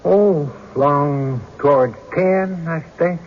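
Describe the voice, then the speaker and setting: A slightly high male voice plays a rustic witness in an old radio courtroom drama. He talks slowly and haltingly, stammering as he dodges and defends himself. The recording is dull, with faint noise. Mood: defensive.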